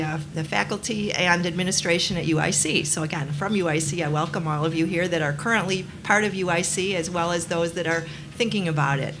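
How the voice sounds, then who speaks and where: A woman with a slightly low voice speaks at a panel, pausing often and filling gaps with ums. She explains university programs in an unhurried, informal way. The room recording carries a clear layer of background noise. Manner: hesitant, many ums. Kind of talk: panel introduction. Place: event room.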